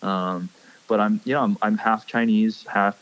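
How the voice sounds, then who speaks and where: A young man from Hawaii, a pro angler, chatting as a podcast guest and speaking haltingly with many fillers. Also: lowish voice, fairly even intonation, fairly bright tone, rough recording. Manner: hesitant, many fillers and restarts. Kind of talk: casual podcast chat.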